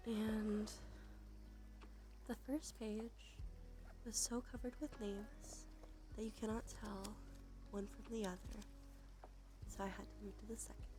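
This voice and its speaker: A woman speaking softly and unhurriedly in a chatty ASMR livestream style, often slipping into a breathy whisper, close to the microphone. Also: mid-range voice. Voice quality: breathy whispery delivery with creaky fry. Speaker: streamer. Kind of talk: soft-spoken ASMR livestream, drifting into whispers. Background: music.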